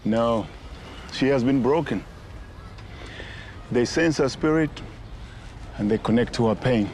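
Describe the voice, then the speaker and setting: An actor playing a calm, wise man who gently urges kindness toward others. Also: medium pitch, slight background noise, lightly acted. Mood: gentle. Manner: gentle, measured. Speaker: film character.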